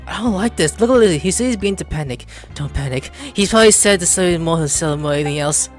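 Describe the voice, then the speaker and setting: A woman hosting a gaming video, giving casual, blunt and expressive reactions as she plays. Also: lowish voice, very fast, soft background music. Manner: informal, expressive, blunt, swearing. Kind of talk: casual game playthrough reaction commentary.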